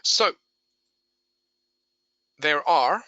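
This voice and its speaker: A man's voice: on the high side, lively intonation, very slow, sharp tone, no echo, dull audio. A man with a mixed English and South African accent presenting a live webinar for beginners in a chatty, explanatory way, addressing listeners directly. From England and South Africa. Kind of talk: live instructional webinar, conversational.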